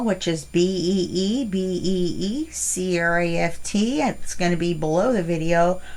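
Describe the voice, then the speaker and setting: A middle-aged woman hosting a casual crafting unboxing, chatty and rambling with fillers, her voice stuffy from allergies. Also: lowish voice. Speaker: crafting channel host.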